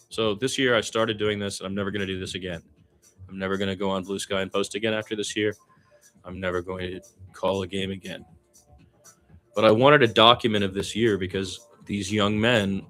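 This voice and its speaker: A man's voice: fairly low pitch, a bit bright. A man doing amateur radio-style basketball play-by-play, conversational, with his own opinions mixed in.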